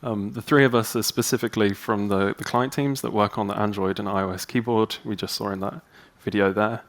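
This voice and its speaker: A man's voice: fairly low pitch, fairly even intonation, brisk. A man giving a chatty, upbeat conference talk on stage into a handheld mic. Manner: upbeat, chatty, many ums. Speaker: conference speaker. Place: stage in a large hall.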